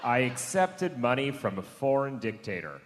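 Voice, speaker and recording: A man's voice: mid-range voice, very animated, moderate pace. A man, a comedian on a live panel, reading comic clues aloud. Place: live stage before an audience. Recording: echoey hall, crowd, faint noise.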